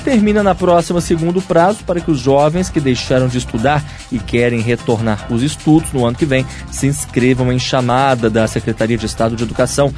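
A man reads a university radio news bulletin from a script. His delivery is clear, steady and announcer-like, with faint music behind him. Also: mid-range voice, brisk, few pauses.

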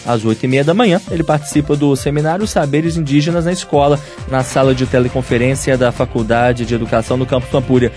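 A man reads a university radio news bulletin from a script. His delivery is clear, steady and announcer-like, with faint music behind him. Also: medium pitch, fast talker, few pauses.

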